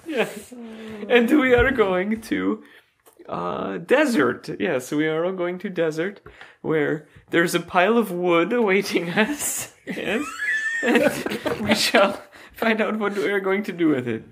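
A performer playing a pompous ancient Egyptian librarian and general with a mock Italian-sounding accent and broken, slow, repetitive grammar. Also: mid-range voice, animated, creaky, slight background noise. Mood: bossy. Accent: mock Italian-sounding Middle Eastern accent. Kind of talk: improv comedy.

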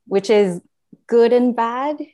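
A woman's voice: on the high side, slow, mellow. Chatty woman with a slight Japanese accent. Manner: rambling with many fillers and self-corrections. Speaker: guest. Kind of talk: casual interview conversation.

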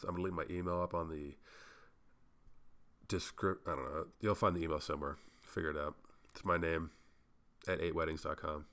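A man's voice: extremely low pitch, a bit bright. A young man hosting a solo podcast, rambling casually and swearing, sounding a little congested. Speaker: podcast host.

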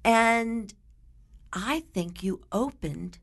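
A woman's voice: on the high side, expressive, very slow. A woman hosting a radio interview in a conversational way, putting questions and remarks to her guest. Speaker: interview host.

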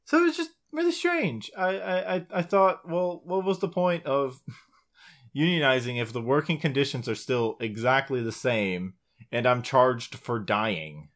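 A man's voice: mid-range voice, expressive, measured pace, a bit bright. Male podcast co-host, informal and sweary, casual gaming chat between friends. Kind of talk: casual chat about video games.

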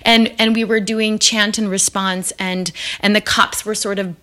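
A woman's voice: medium pitch, flat delivery, a little slow, a bit bright. Thoughtful woman interviewer, lots of ums. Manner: thoughtful, many ums, reminiscing. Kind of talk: warm reflective conversation.

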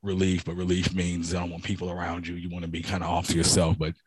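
A man with a hoarse, raspy voice from a cold, giving a rambling testimony to a church congregation with many restarts. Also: sits low, monotone, fast talker, no room sound, clean studio sound.

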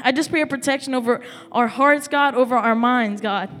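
A young intern closing a sermon with a prayer, repeating the word God in a steady cadence. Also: medium pitch, brisk. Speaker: intern preacher. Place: church auditorium. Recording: reverberant hall with music. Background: soft music.

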